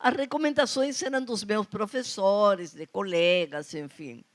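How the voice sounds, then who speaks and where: A woman's voice: mid-range voice, a little slow. A woman with a strong foreign accent speaking Portuguese, telling anecdotes on stage at a literary panel and acting out the dialogue she quotes. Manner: anecdotal, animated quoting of dialogue. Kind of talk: storytelling at a literary talk. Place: festival stage before an audience.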